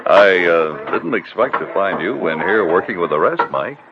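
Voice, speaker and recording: A steady, low-voiced Mountie. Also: male voice, normal speed. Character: steady, friendly frontier Mountie sergeant. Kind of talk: 1940s radio acting. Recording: lo-fi old radio broadcast with hiss.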